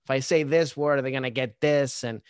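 A man who is a stand-up comedian, chatting casually in an interview, talking in quick bursts with many fillers and restarts. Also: mid-range voice, clean tone, dry recording, studio quality. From Maryland, United States.

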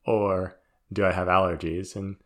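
A man with a very deep voice co-hosts a casual podcast. He reasons aloud in a thoughtful, measured way. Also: level tone. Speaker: podcast co-host. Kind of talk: casual chat.

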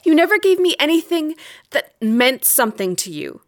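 An actress playing a wronged, weary wife in an audio drama, speaking in a deliberate, accusing way, grave and resolute. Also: female voice, high-pitched, big pitch swings. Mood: reproachful, weary.

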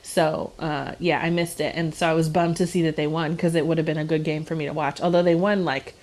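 A woman's voice: low voice, little pitch movement, brisk, a little mellow. A woman, chatting casually as a podcast co-host, giggly and full of fillers. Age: 30s. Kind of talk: casual chat.

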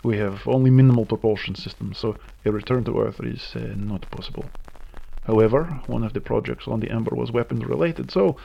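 A man's voice: mid-range voice, brisk, vocal fry, highs cut off. A man playing a grim, weary veteran security commander, solemnly recording a formal military log in an audio drama. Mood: weary, resolute.

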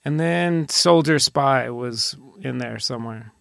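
A man's voice: medium pitch, takes it slowly, vocal fry, a bit bright, no room sound, studio quality. A man hosting a casual podcast, bantering in a rambling, dryly joking way. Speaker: podcast host.

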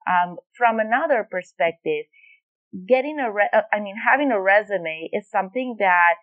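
A woman's voice: mid-range voice, animated, moderate pace, dry recording. Woman consultant from Venezuela, podcast guest; thoughtful, restarts often; muffled, dead-dry sound. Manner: thoughtful, explanatory, with frequent fillers and restarts. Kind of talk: podcast interview answers.

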